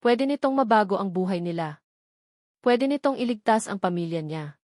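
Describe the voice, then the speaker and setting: A woman's voice: mid-range voice, expressive, moderate pace, a little mellow, dry recording, studio quality. A woman narrating a scripted romance drama as a storyteller.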